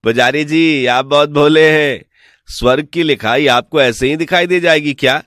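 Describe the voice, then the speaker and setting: A man voicing a sly, smooth-talking village swindler in an animated folk tale, persuasive, boastful and falsely respectful. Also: fairly high pitch, normal speed, dry recording, clean studio sound, lightly acted.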